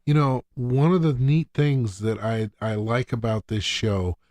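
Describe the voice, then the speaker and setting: A man talks at a slow, unhurried pace as a podcast co-host going through an episode. His pitch is average for a man, the style is casual and conversational, and the recording is dry. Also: steady tone, darkish tone, no room sound. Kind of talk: casual TV episode recap chat.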